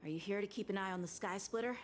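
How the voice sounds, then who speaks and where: A woman's voice: mid-range voice, fast talker, slight background noise, lightly acted. A woman voicing an earnest, polite young boy lieutenant in a video game, speaking dutifully.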